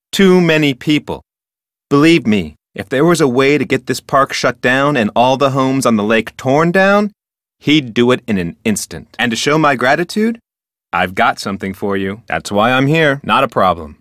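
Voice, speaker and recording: A man's voice: mid-range voice, fairly bright tone, dry recording, studio quality, close to an everyday voice. A man playing an officious, rule-bound park ranger in an adventure game, with a formal, wordy manner. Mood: disapproving, then pleased, helpful. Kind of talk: scripted adventure game dialogue.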